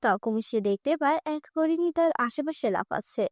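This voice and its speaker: A woman narrating a children's cartoon fairy tale with a high voice in clear, even storytelling. The recording is dry and close. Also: normal speed, no echo.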